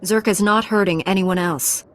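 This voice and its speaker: A woman's voice: mid-range voice, steady tone, moderate pace, fairly bright tone, a little hiss or hum, natural voice. A woman playing a composed, resolute Jedi knight in a video game, speaking directly and firmly. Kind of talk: video game dialogue acting.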